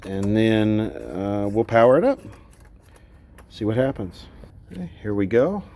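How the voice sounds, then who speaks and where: A man's voice: fairly low pitch, expressive, a little slow, mellow. Blunt man thinking aloud. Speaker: electronics repair video host. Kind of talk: casual unscripted workbench commentary.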